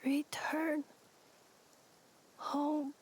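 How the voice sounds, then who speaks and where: A woman voicing a solemn female humanoid robot in a video game, speaking in halting, terse phrases. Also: sits high, flat delivery, a little hiss or hum, rough recording.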